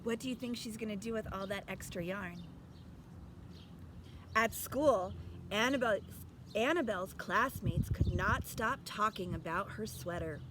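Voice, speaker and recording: A woman's voice: mid-range voice, unhurried, background noise. A woman reading a storybook aloud expressively as a teacher, stopping to put instructive questions to young listeners.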